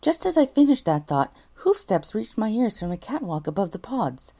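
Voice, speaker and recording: A woman's voice: medium pitch, very animated, normal speed, dark tone. A woman reading a scripted first-person story as a voice actor, correcting herself and mildly exasperated at her flubs. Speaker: voice actor, narrator.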